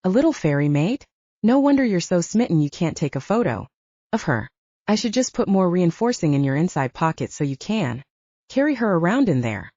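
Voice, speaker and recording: An eccentric male tailor as read by a woman in an audiobook, gruff and mocking. The voice is husky and mellow, bossy in its teasing, on a slightly muffled recording. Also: fairly low pitch, very animated, fairly quick, no echo.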